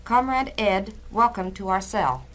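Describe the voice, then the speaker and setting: A woman's voice: medium pitch, animated, a little slow, fairly bright tone. A woman playing a businesslike Communist cell leader, chairing with stiff formality in a 1960s dramatized style. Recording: noisy vintage spoken-word LP.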